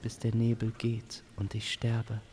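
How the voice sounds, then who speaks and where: A man plays a serene blossom spirit, female and compassionate, in a fantasy audio play. The voice is lifted and soft, with a solemn, reassuring way of speaking and slow phrasing. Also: medium pitch, monotone, slight background noise, pitched above the performer's own voice, close to an everyday voice. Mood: guiding, earnest.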